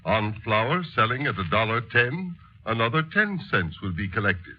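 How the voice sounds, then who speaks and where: An actor playing an earnest schoolmaster turned politician, explaining emphatically in 1930s radio style. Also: male voice, fairly low pitch, sing-song, moderate pace, slightly rough. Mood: didactic. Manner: didactic, emphatic. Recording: muffled old 1930s radio recording.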